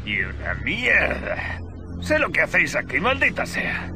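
An actor playing a mocking, foul-mouthed, cantankerous survivor with a sneering delivery in a video game cutscene. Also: male voice, fairly high pitch, huge pitch swings, measured pace, over music, heavily acted.

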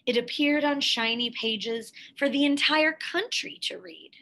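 Slow-paced woman reading a storybook aloud. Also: on the high side. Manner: measured, careful, expressive phrasing. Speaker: storybook reader. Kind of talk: scripted picture-book read-aloud.

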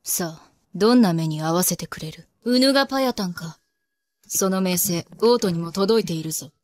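A woman's voice: on the low side, normal speed, a little mellow, no echo, close to an everyday voice. A woman voicing a ruthless, imperious young princess and wrestler in an anime, speaking in haughty, archaic commands. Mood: cold, imperious. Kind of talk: anime voice acting.

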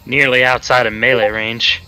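A man's voice: mid-range voice, level tone, moderate pace, pushed and bright, clear, resonant tone, soft background music, rough recording. A man chatting and joking casually with his raid group over voice chat. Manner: joking, playful. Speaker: raid party member on voice chat.

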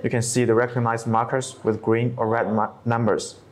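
A man in his thirties narrating a scripted software tutorial step by step, with non-native English phrasing. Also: medium pitch, flat delivery, normal speed, background noise. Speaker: tutorial presenter.

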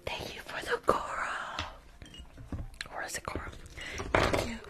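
A young woman with a New York accent does an ASMR roleplay as a rude school nurse, speaking close to the microphone in a curt, sassy, dismissive way. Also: unhurried. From Bronx, New York. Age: young adult. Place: small room at home. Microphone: close desk microphone with fluffy cover.

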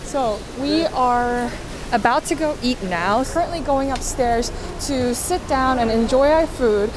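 A woman's voice: high-pitched, a little slow, noisy background. Chatty young woman vlogging over seafood. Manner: chatty and descriptive, gushing while tasting. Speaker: food vlogger. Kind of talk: casual food vlog narration. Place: seafood restaurant by a window, indoors. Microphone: camera held close, selfie framing.

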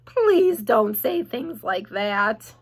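A woman voicing an innocent, gentle young male pig who speaks slowly and plaintively. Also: mid-range voice, very animated, slightly rough, full character voice. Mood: groaning. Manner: slow, drawn-out and plaintive.

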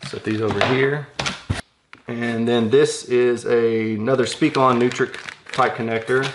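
Male, 30s, mid-pitched, informal hands-on reviewer thinking aloud; clear handling and crinkle noise behind. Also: fairly even intonation, measured pace. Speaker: product reviewer unboxing audio gear. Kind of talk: casual hands-on unboxing commentary. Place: small indoor workshop room. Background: packaging crinkles, clicks, rustling.

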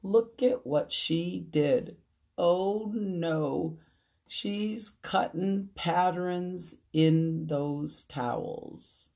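A woman in her fifties reading a children's story aloud expressively, deliberately, with questioning asides to young listeners. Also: lowish voice, vocal fry, warm tone. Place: small room at home. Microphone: phone at arm's length.

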